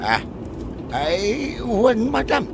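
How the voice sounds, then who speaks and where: A man's voice: extremely high pitch, wildly swooping pitch, drawn-out words, creaky, background noise, heavily acted. A Filipino man playing a meek, flustered farm worker in a comedy radio drama, hesitant and grumbling. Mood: flustered, deferential. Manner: hesitant, stammering deference.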